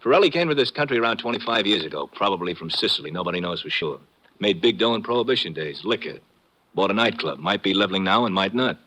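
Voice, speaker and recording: A man's voice: low-pitched, animated, fast, sharp tone, heavily acted, low-quality recording. A man playing a jovial, breezy newspaperman with folksy, slangy talk in a 1950s radio drama. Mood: brisk, matter-of-fact. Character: breezy, jovial, well-informed newspaperman pal.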